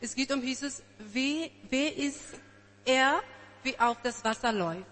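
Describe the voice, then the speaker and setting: A woman's voice: high-pitched, measured pace, fairly bright tone, in a large hall. A woman from South Africa whose first language is Afrikaans, speaking German with an Afrikaans accent and searching for words as she introduces a song at church. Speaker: church singer.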